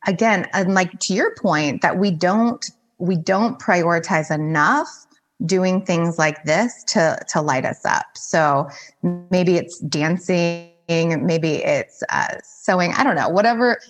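Woman podcast guest, life coach type, voice a touch low, informal conversational advice. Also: slow pace. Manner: informal, heavy use of "like".

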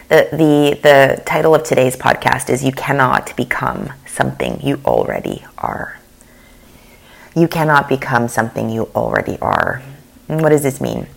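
Very low-voiced, gravelly woman podcaster. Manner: earnest, confessional, with frequent fillers and restarts. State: losing her voice. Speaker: podcast host. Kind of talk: solo podcast monologue.

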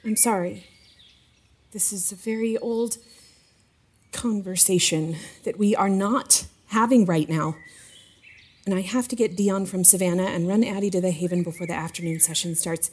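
A woman's voice: medium pitch, normal speed, darkish tone, breathy edge, close to an everyday voice. An actress playing a stressed, stubborn and powerful woman in an audio drama, speaking with emotional, defensive emphasis. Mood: weary, apologetic. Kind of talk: fiction podcast drama acting.

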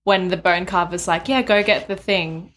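A woman's voice: lowish voice, normal speed, fairly bright tone. A woman co-hosting a casual book chat podcast, giving her opinions in a jokey, slangy way. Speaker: podcast co-host.

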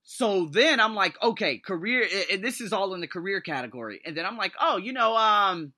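A man in his thirties hosting a solo motivational podcast, talking in an excitable, emphatic way with his voice leaping up when he gets thrilled. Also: high-pitched, a little fast. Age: 30s. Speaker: podcast host.

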